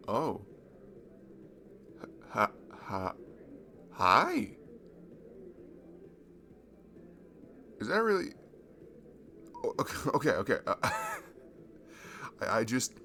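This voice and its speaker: A man plays a young college guy in an intimate audio roleplay, friendly and easily flustered. His low voice crackles with fry and swings expressively. He stammers and pokes fun at himself at a slow overall pace. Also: sharp tone, exaggerated voice. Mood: surprised, flustered. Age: young adult. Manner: hesitant, stammering, self-deprecating. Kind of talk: naturalistic ASMR roleplay acting.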